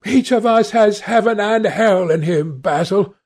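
Guy doing a bitter young gentleman for an audiobook, vain, intense, high voice, drawn-out slow words. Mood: despairing. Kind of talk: dramatic audiobook character voice.

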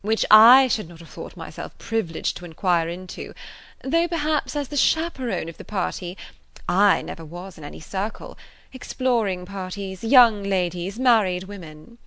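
A woman's voice: medium pitch, expressive, moderate pace, vocal fry, fairly bright tone, no room sound. Woman as a pompous, affronted married lady. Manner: emphatic, pompous.

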